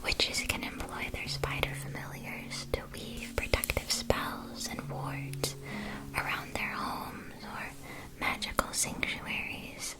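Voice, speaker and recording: A gentle witch shopkeeper, played by a woman, speaks in a soft, breathy whisper. She takes her time over each phrase, careful and politely attentive, in the manner of a fantasy ASMR roleplay. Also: slow, close to an everyday voice, rough recording. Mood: gentle, informative.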